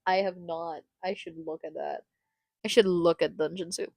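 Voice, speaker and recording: A woman's voice: fairly low pitch, fairly even intonation, normal speed, gravelly, darkish tone, dry recording. A woman from the Philippines chatting casually on a live stream, rambling and cutting herself off, laughing often.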